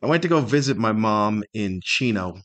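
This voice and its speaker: A man's voice: on the low side, animated, normal speed, a bit bright. Middle-aged man, casual rambling podcaster. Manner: rambling, wry storytelling with self-deprecating humor. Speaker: podcast host. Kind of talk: casual solo podcast monologue.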